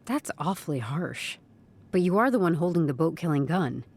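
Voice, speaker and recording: Low-voiced, probing HR investigator. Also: female voice, expressive, a little mellow, slightly rough, exaggerated voice. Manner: measured, questioning, careful. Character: probing, tactful female HR investigator, quietly persistent. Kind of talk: scripted audio drama acting.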